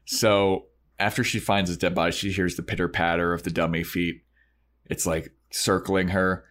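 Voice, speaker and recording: Lowish-voiced man recapping casually. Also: flat delivery, normal speed, a bit bright. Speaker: podcast co-host.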